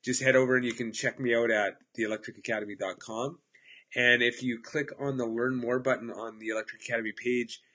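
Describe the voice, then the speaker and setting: A man's voice: fairly low pitch, a bit bright. A man in his forties with a stuffed-up cold voice, enthusiastically explaining apps for electricians in a casual webcam talk from his home office. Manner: upbeat, enthusiastic, chatty. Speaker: electrical instructor. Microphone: laptop webcam at desk.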